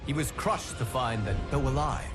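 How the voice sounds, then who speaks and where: A man playing a solemn godlike guardian of timelines, narrating video game cutscenes in a formal, measured, dramatic way. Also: mid-range voice, close to an everyday voice. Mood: grave, ominous. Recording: loud cinematic music behind. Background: loud music.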